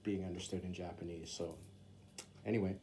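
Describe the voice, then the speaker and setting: A man's voice: sits low, fairly even intonation, a little fast, warm tone, a little hiss or hum. A man who teaches English in Japan, a native English speaker, talking casually to camera and explaining Japanese sentences with plenty of fillers. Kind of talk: casual talk to camera, reading sentences aloud.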